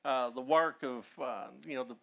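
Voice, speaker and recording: A man from north Texas with a Texan accent, a historian speaking as a guest and telling folksy stories with many pauses. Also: mid-range voice, gravelly, a bit bright. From Texas, Dallas-Fort Worth area. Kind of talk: casual storytelling talk.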